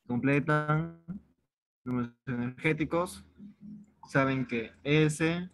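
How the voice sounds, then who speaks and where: A man's voice: medium pitch, dry recording. A man from Peru teaching an online chemistry review class, informal and repeating words as he writes out problems. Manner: informal, repeats words while writing on a board. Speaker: chemistry tutor.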